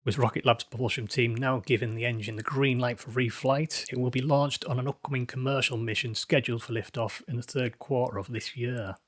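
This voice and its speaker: A man's voice: mid-range voice, fairly even intonation, brisk, few pauses, dry recording. A man hosting a news update show, narrating from a script with an emphatic, dramatic storytelling delivery. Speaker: host of a space news show.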